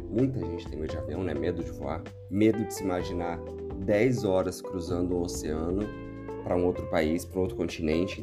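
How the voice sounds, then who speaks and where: A Brazilian man with a hoarse voice, hosting a travel podcast in a chatty, upbeat solo talk with playful asides. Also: deep voice. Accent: Brazilian Portuguese. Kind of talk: casual solo podcast monologue.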